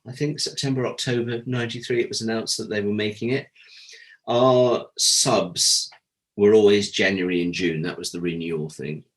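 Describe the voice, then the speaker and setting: A man with a low, deep voice speaks as an interview guest. He tells his stories candidly, in a conversational storytelling style, and voices the people he quotes. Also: a bit bright, rough recording. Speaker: interview guest, former audio drama producer. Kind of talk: animated anecdotal interview talk.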